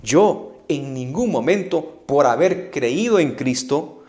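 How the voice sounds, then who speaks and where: Slow, lively Guatemalan preacher man. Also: a little high. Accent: Guatemalan Spanish. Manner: emphatic, challenging, with rhetorical questions and repetition. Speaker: evangelist preacher. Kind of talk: preaching a Bible study to camera.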